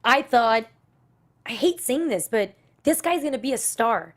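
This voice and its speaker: A woman's voice: fairly high pitch, expressive, unhurried. A woman hosting a casual talk show, chatty and rambling as she leads each segment. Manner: chatty, rambling, many fillers. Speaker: host. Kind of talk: casual chat, leading the segments.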